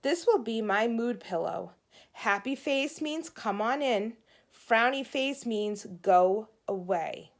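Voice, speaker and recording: A woman's voice: a little high, expressive, slow. A woman voicing a moody, bossy young big sister in a children's storybook, with an emphatic, snappy delivery. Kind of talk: children's storybook read-aloud.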